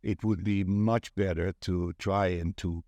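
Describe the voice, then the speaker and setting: A middle-aged or older man from the Netherlands with a Dutch accent, telling stories in a measured, deliberate way as an interview guest. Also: low-pitched, steady tone, warm tone, dry recording, dull audio. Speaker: networking event organiser, interview guest. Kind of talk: conversational interview, recounting.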